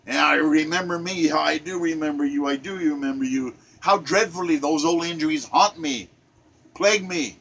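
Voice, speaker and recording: An impassioned man in his seventies speaks with emphasis, often repeating phrases to drive a point home. His voice sits a little high for a man and sounds bright and forceful. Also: moderate pace, gravelly. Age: 70s. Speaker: poetry reader. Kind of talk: impassioned spoken commentary and poetry reading.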